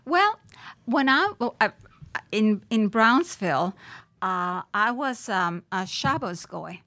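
An older woman from Puerto Rico, a judge, speaking as a podcast interview guest in a reflective way with many pauses, fillers and restarts. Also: mid-range voice, very animated, pushed and bright.

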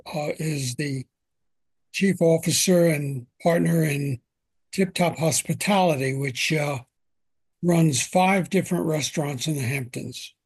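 An older man hosting an interview podcast from his home, asking questions in a gentle, unhurried way with frequent fillers. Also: on the high side, husky, no echo. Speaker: podcast host.

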